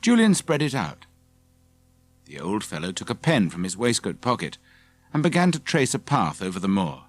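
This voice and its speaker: A man's voice: fairly low pitch, huge pitch swings, moderate pace. A man reading scripted children's story narration as an audiobook narrator.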